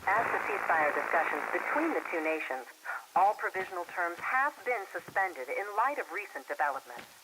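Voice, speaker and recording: A woman's voice: lowish voice, lively intonation, a little fast, lightly acted. An actress playing a composed female news announcer reading a grave, formal bulletin over the radio in a game. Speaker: news reader. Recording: hissy radio broadcast with static.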